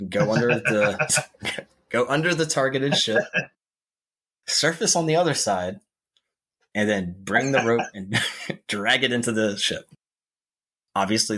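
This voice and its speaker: A man with a husky voice telling history in a conversational podcast style. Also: on the low side, a bit bright. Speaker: podcast co-host.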